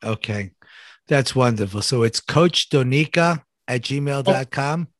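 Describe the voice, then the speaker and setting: A man hosting a conversational interview, effusive and halting as he rephrases his questions. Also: mid-range voice, lively intonation, no echo, clean studio sound. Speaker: show host.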